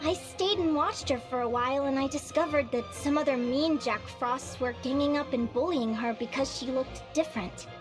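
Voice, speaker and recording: A woman's voice: high-pitched, normal speed, few pauses, lightly acted. A woman voicing an eager, curious young woman guild advisor in a video game, friendly and enthusiastic in manner. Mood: earnest storytelling. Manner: bubbly, enthusiastic. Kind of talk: video game character dialogue. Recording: music behind the voice.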